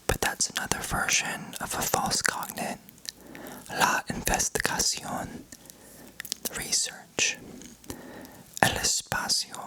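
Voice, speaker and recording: Slow whispering man, ASMR lesson. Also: rough recording. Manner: soft unvoiced whisper, slow word-by-word repetition. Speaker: ASMR creator teaching vocabulary.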